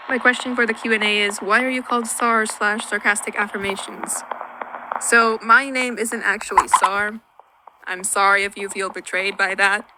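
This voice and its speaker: A woman's voice: medium pitch, low-quality recording. A young woman from Finland, a native Finnish speaker, answering viewer questions in a dry, self-deprecating voice-over. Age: young adult. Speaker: YouTuber. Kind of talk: Q&A vlog voice-over.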